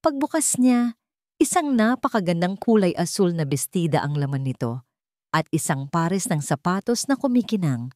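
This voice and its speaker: A woman's voice: fairly low pitch, big pitch swings, normal speed, a little mellow, no echo, studio quality. A woman storyteller reading a scripted romance story in a dramatic narrating style.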